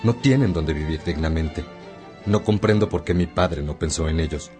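A man's voice: very deep voice, moderate pace. A man acting as a humble, worried father of many children in a radio drama, pleading respectfully. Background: music.

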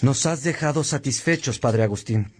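An actor playing a devout monk of ancient Roman times in a radio drama, speaking in a measured, declaiming way. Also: male voice, medium pitch, slight background noise, lightly acted. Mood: friendly, cheerful. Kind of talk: Spanish-language radio drama, solemn scripture reading.

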